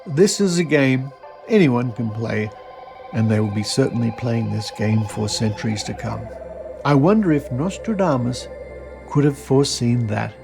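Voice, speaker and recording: Man, scripted narration, mid pitch, creaky edge, measured and emphatic. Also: animated, over music. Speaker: podcast host. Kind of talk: scripted documentary-style narration.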